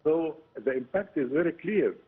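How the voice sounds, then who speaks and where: A man with an Egyptian accent giving halting, explanatory answers as an expert guest in a broadcast interview, often saying "you know". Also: fairly high pitch, animated, slightly rough. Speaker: political science professor, interview guest. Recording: muffled, narrow phone-like line.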